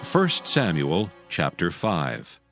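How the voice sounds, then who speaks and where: A man narrating a dramatized Bible in a measured, formal reading style. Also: low-pitched, huge pitch swings, a bit bright, faint music behind.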